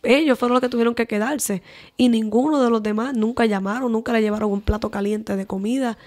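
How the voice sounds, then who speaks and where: A woman's voice: fairly high pitch, fairly even intonation, brisk, darkish tone, soft background music. A young Dominican woman with a Dominican Spanish accent, an evangelist guest giving long, talkative answers in a podcast interview. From Dominican Republic. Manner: talkative, lengthy explanations.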